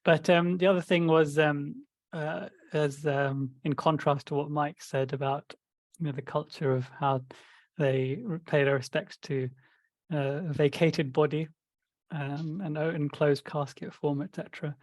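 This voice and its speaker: Higher-voiced man, flat and reflective. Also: a little slow, no room sound. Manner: thoughtful, explanatory, with frequent fillers. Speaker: podcast co-host. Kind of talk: casual discussion.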